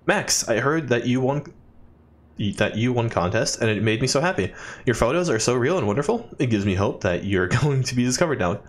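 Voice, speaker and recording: A man's voice: mid-range voice, animated, brisk, natural voice. A man voicing a sweet, grateful young woman friend in earnest, effusive game text messages. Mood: admiring.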